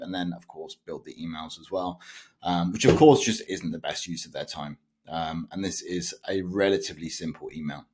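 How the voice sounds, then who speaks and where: A young British man, a sales automation consultant, explaining his method to camera in a loose, conversational way with frequent fillers and self-corrections. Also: sits low. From United Kingdom.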